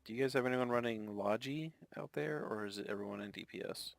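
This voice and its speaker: A man in his mid-thirties chatting over voice chat, measured and going through game tactics in detail. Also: mid-range voice, vocal fry, no room sound. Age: 30s. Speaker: gamer in voice chat. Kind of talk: casual voice chat.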